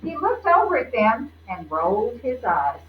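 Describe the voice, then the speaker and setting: A woman reading a children's storybook aloud in an expressive, interactive way. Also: a little high, a little slow. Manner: expressive, asks listeners questions. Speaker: storybook reader. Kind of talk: children's read-aloud narration. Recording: roomy, distant, muffled, faint noise.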